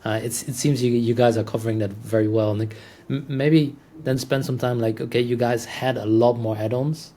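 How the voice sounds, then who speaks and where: A man's voice: medium pitch, steady tone, a little fast, dark tone. A man hosting a podcast interview in a casual conversational style, explaining points and checking for agreement.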